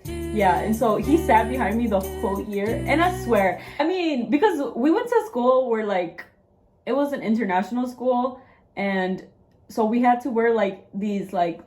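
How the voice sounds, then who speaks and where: A woman's voice: on the high side, animated, moderate pace, a little mellow, soft background music. Young female co-host, joking and playful, chatty video-call delivery. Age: young adult. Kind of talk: casual chat.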